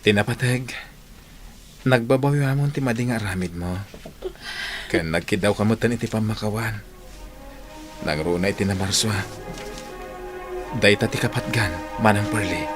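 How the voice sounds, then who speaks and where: A man's voice: on the low side, normal speed, pauses often, music in the background, close to an everyday voice. A man playing an earnest, wronged younger brother in a radio drama, with an Ilocano accent. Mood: forgiving.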